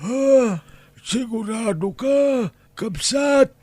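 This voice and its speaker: A weary older Ilocano husband , played by a man, in an audio drama. His voice is high and husky, and he speaks slowly and politely, with a plaintive humility. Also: lively intonation, darkish tone, no echo, full character voice. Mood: grateful. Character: humble, weary older homeless husband seeking shelter.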